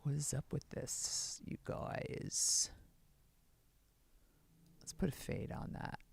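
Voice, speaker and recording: A woman with a low voice, rambling excitably on a casual live stream as she plays bass and fiddles with her gear. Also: slow. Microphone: streaming setup mic.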